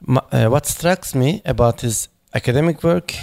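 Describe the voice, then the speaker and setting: A man speaking at a memorial panel, halting and searching for words, with many fillers and restarts in English that is not his first language. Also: mid-range voice, creaky, a little mellow. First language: not English; foreign accent in Turkish noted.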